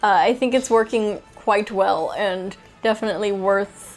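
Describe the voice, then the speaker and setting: A woman's voice: medium pitch, measured pace, faint music behind. A woman giving a casual, unscripted product review as a host, chatting and thinking aloud as she handles items.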